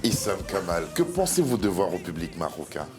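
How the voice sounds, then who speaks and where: A man's voice: sits low, very animated, a little fast, darkish tone, faint music behind. A man hosting an interview, asking short questions and giving brief backchannel replies. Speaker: interviewer, host.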